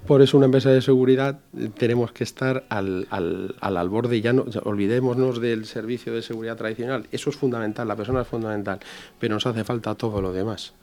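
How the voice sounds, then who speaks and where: A man's voice: mid-range voice, fairly even intonation, fast, darkish tone. A man in his fifties from Spain, a security executive answering interview questions in an explanatory way, repeating his key points. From Barcelona, Spain. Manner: explanatory, repeats key phrases, rhetorical tags.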